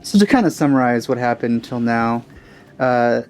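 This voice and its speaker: A man's voice: mid-range voice, animated, normal speed, darkish tone. Male let's play host, mid-range voice, offhand and rambling casual commentary over game music.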